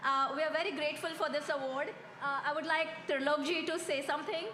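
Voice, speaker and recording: A woman's voice: sits high, steady tone, quick pace, roomy sound, background noise. A young woman from India, a film director, giving an earnest award acceptance speech on an outdoor stage. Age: young adult. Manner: earnest, heartfelt.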